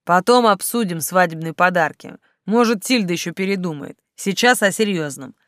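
Woman reading as a grave, commanding king, low-pitched. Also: expressive, normal speed, fairly bright tone, no echo, clean studio sound. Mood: brisk, commanding. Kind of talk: audiobook character acting.

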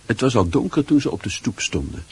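A man's voice: medium pitch, very animated, brisk, husky. A man reading scripted literary narration for a radio play.

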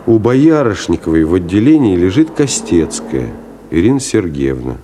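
A man's voice: low voice, very animated, normal speed, mellow, slight background noise. An actor playing an earnest, conscientious middle-aged man in a 1970s Soviet radio play, pleading with a friend in a hesitant, emotional way. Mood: urgent, grave.

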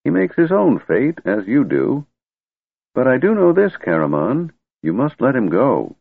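A high, quavering old wizard-god, cranky and scolding, whose tone swings widely as he lectures, as a male reader puts him on. The voice sounds husky and comes over a thin, phone-like line with no room around it. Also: huge pitch swings, a little slow, dry recording, heavily acted. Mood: gentle. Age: older. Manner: cranky yet wistful, scolding. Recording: tinny phone-like dry recording.

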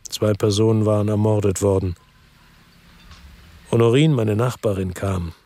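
An actor as a weary ex-cop narrator. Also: male voice, on the low side, moderate pace, close to an everyday voice. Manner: calm, reflective first-person narration. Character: world-weary ex-cop, middle-aged man, lives by the sea. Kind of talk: radio drama narration.

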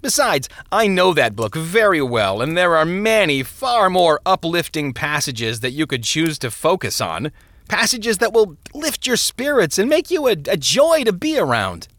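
Man voicing a smug, patronizing parson with lively pitch. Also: a little slow, no echo. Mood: amused, dismissive. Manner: condescending, hesitating mid-phrase. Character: smug, patronizing male parson, falsely genial.

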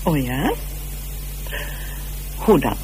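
A woman's voice: low voice, very animated, extremely slow, warm tone, exaggerated voice, low-quality recording. Wealthy lady suspect, haughty, defiant, clipped, hugely varied intonation, radio drama, slight background hum.

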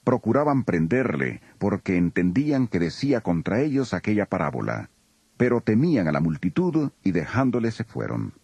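A man narrating scripture from a script in a solemn, expressive way. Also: on the low side, moderate pace, gravelly, husky, no echo, highs cut off.

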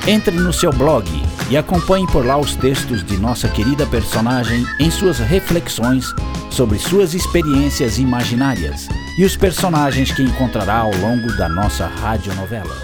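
Radio drama narrator, male: storytelling delivery, very animated pitch, clear music behind. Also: moderate pace, close to an everyday voice. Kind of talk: scripted narration.

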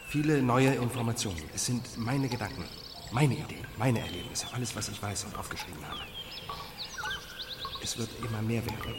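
A man's voice: fairly low pitch, noisy background, natural voice. A man playing an earnest, persistent programmer in a radio drama, speaking plainly. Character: insistent, earnest male programmer addressing his AI creation. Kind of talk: German radio drama acting.

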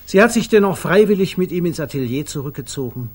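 An actor playing a wry narrator of comic chaos in a 1950s German radio play, with theatrical, mock-dramatic, clipped announcements. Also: male voice, fairly high pitch, animated, quick pace, noisy background, close to an everyday voice.

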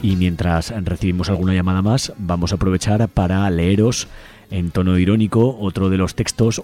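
A man's voice: low-pitched, mellow. A man with an accent from Spain presenting a live radio show and inviting listeners to call in. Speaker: radio host.

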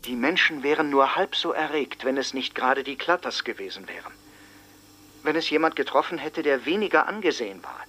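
An actor playing an earnest, shaken small-town resident giving emphatic testimony in a radio drama. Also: male voice, medium pitch, pushed and bright.

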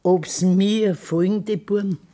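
A woman's voice: mid-range voice, fairly even intonation, takes it slowly, dark tone, natural voice. An older actress with a Bavarian accent playing a worried, protective grandmother in a 1970s radio play, with a pleading manner.

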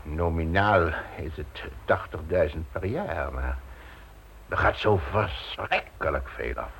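An actor playing a flustered, cornered aristocratic politician in a radio play, stammering, hesitant and repeating himself. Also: male voice, a bass voice, very animated, slow, creaky, a bit bright, exaggerated voice. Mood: anxious, evasive. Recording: noisy old radio play recording.

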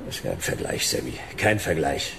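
Radio noir detective: low voice, world-weary, sardonic, faint noise behind. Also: male voice, big pitch swings, normal speed, gravelly, pushed and bright, exaggerated voice. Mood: grumbling. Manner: dry, sardonic. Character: wisecracking world-weary private detective, grumbling, adult man. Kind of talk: radio crime drama acting.

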